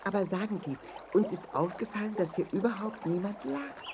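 Animated, polite, sensible leader played by an actor. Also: mid-range voice, moderate pace, natural voice. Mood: polite, curious. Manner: polite, expressive, clearly articulated. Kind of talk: children's audio play acting. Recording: faint noise with birdsong.

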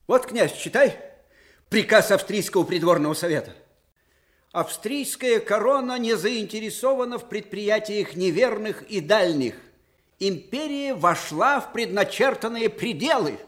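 An actor playing a shrewd, fervent elderly military commander in a radio drama, with emphatic, theatrical delivery that shifts abruptly. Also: male voice, sits high, animated, measured pace, fairly bright tone. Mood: indignant. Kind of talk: Soviet radio drama acting.

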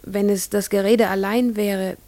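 An actress playing a troubled middle-aged farmer's wife in a German radio play, guarded, halting and fearful. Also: female voice, medium pitch, fairly even intonation, dark tone, close to an everyday voice. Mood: uneasy, guarded.

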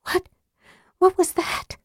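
A woman voicing a nervous, sharp-tongued young woman adventurer in a fantasy audiobook, anxious and easily irritated. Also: mid-range voice, huge pitch swings, measured pace, dry recording, studio quality. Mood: frightened. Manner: snappish and anxious.

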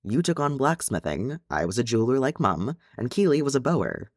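A man's voice: medium pitch, very animated, fairly quick, darkish tone, no echo, clean studio sound. A man voicing an easygoing young dwarf companion in an audiobook story, explaining things in a familiar way. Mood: matter-of-fact.

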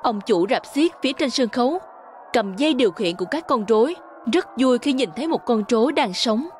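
A woman's voice: fairly high pitch, lively intonation, fairly quick, a little mellow, a little hiss or hum. A woman narrating a scripted fairy tale for children.

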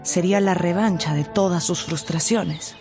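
A woman giving scripted, expressive narration for a radio novel. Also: fairly low pitch, moderate pace, music in the background. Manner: expressive storytelling. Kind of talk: scripted dramatized audiobook narration.

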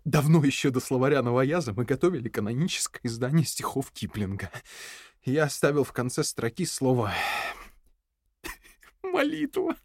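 An actor playing a jittery, ruminating intellectual prisoner in an audio drama, speaking in a halting, brooding way. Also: male voice, mid-range voice, very animated, darkish tone, dry recording, clean studio sound. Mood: nervous musing.

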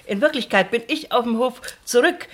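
An actress playing a middle-aged, homely country wife who speaks directly and chattily in a radio play. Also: female voice, fairly high pitch, normal speed, lightly acted. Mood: frank. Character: forthright, homely country wife, proud hostess. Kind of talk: naturalistic radio play dialogue.

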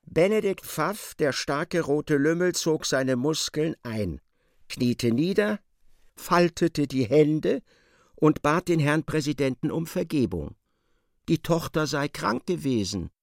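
A man giving expressive literary narration in a radio drama, as a storyteller. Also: fairly high pitch, slow, vocal fry, no echo, clean studio sound, heavily acted.

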